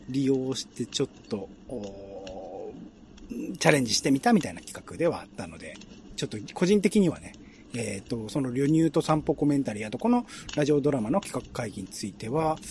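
A man hosting a relaxed radio chat, speaking politely and hesitantly as he thinks aloud. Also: fairly high pitch, slight background noise. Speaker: radio show host. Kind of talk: relaxed year-in-review chat with guest and co-host.

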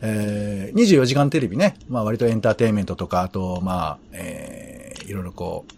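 A man co-hosting a relaxed talk show, recapping things in a hesitant, halting way with drawn-out pauses. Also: lowish voice, vocal fry, slight background noise. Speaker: podcast co-host. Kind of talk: casual radio chat, recapping past episodes.

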